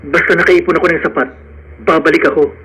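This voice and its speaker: A man's voice: on the high side, fairly even intonation, quick pace, fairly bright tone, husky. A man playing a poor, hopeful and determined young man in love, in an earnest radio drama style. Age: young adult.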